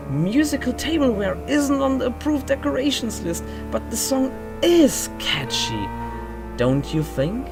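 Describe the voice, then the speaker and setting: A fussy mansion steward in a fantasy audio drama, pitched low and speaking with theatrical swoops. The delivery is pompous, courteous and formal, like a tour guide showing off a grand house, with music playing prominently behind. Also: female voice, heavily acted. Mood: bemused, courteous. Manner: pompous, formal, mannered hesitations.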